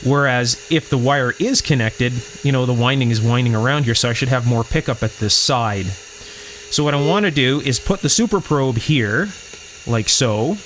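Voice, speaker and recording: A man's voice: mid-range voice, normal speed, a bit bright, slight background noise, low-quality recording. A man with a mid-range voice talks through repair work as he does it. He explains each step methodically, in the manner of someone giving a practical demonstration. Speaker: electronics repair host. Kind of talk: hands-on repair demonstration, talking while working.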